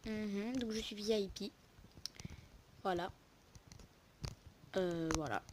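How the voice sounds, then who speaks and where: A woman's voice: medium pitch, takes it slowly. A young woman casually commentating a game lookbook as host, rambling and interrupting herself, on a poor recording with noise and clicks. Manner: rambling, informal, self-interrupting. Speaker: video host.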